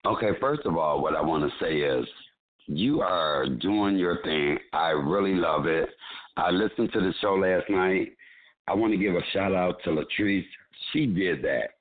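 Deep voiced comedian guy cracking jokes, very low, swings his voice around a lot, tinny phone sound. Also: animated, measured pace. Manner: playful, teasing, laughs often. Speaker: comedian guest. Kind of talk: joking radio chat.

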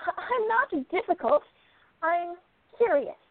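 A woman's voice: extremely high pitch, expressive, slow pace, full character voice. A woman playing a curious, confident exiled princess turned sleuth in a radio play. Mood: breezy. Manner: confident, insistent. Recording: thin phone-like sound, noisy line.

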